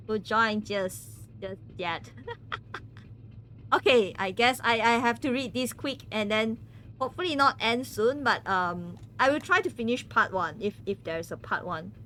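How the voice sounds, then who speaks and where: A woman's voice: fairly high pitch, sharp tone, a little hiss or hum. A woman from Malaysia, chatting casually with viewers on a game stream. She giggles often and stumbles over words.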